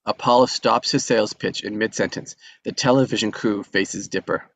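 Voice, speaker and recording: A man reading the stage directions at a live script table read, steady and measured. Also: on the low side, gravelly.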